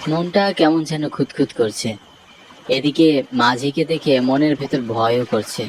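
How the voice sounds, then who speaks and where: A man's voice: mid-range voice, normal speed, slight background noise, close to an everyday voice, rough recording. An actor voicing an anxious young man who is scared of ghosts, telling a horror cartoon story in the first person. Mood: uneasy. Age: young adult.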